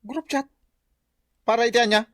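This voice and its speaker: A man playing a whiny, slow-witted villager in an Ilocano comedy radio drama, with an Ilocano accent. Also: extremely high pitch, a bit bright, no echo, studio quality. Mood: baffled.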